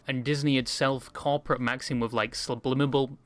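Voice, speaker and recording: A man's voice: mid-range voice, flat delivery, a little fast, fairly bright tone. A man with a slight speech impediment delivering scripted video commentary as an essayist.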